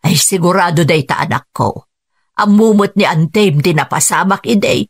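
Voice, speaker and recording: An actress with an Ilocano accent playing a calm, reassuring rural mother with a husky voice in a radio drama. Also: fairly low pitch, moderate pace, no room sound, clean studio sound.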